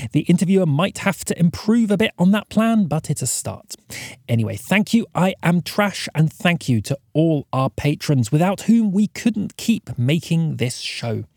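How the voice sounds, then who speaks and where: A male podcast host reads scripted announcements courteously. His voice is fairly high and very expressive, with deliberate phrasing and a dry studio sound. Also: dry recording.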